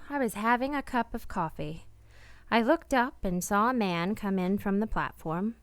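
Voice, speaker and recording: A woman's voice: medium pitch, dry recording, lightly acted. An actress playing an earnest, guilt-ridden married woman in a radio play, speaking in a refined, hesitant way. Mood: wistful narrating. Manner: hesitant, earnest, refined, with halting repeated words. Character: married woman, anxious, guilt-ridden, tenderly confessing a secret love. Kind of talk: radio play acting with confessional narration.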